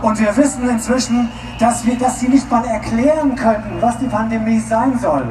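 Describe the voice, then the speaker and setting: Male rally interpreter, shouting lines back, echoey outdoor sound with music behind. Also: extremely high pitch, moderate pace. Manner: loose, rephrasing, at times shouting. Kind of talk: live consecutive interpreting at a rally. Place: outdoor stage at a large street demonstration. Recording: distant echoey outdoor recording with crowd and music.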